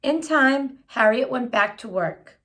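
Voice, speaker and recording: A woman's voice: fairly high pitch, animated, slow pace, fairly bright tone. A woman reading a children's picture book aloud, phrasing it expressively and adding simple explanatory asides for young listeners. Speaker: children's story reader.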